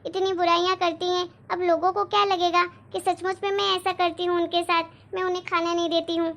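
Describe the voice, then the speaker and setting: A woman plays a put-upon daughter-in-law in a family skit. She argues and explains in a very high, thin voice, sounding tired of the old woman's accusations. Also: level tone, a little fast, fairly bright tone. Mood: exasperated. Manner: exasperated, arguing, explaining at length.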